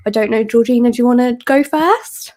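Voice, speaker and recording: A woman with a slightly high voice moderates a panel. She greets the panelists warmly and puts questions to them with enthusiasm. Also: a little fast. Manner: enthusiastic, friendly. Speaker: panel facilitator.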